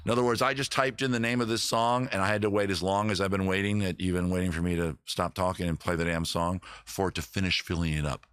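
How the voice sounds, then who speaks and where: A man's voice: low-pitched, level tone, fast talker, few pauses, fairly bright tone, smooth, no echo. A man hosting a live music stream, chatting casually with viewers between songs in a rambling, joking way and poking fun at his own singing. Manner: self-deprecating about his own singing. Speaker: livestream host and musician. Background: his own acoustic guitar playing.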